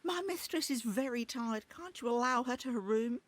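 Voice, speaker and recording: Actress as outspoken, loyal lady's maid for a mystery game: indignant, blunt, highly animated intonation, dry recording. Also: moderate pace, no echo, natural voice. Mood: protective. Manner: emphatic, dramatic, blunt. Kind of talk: video game drama acting.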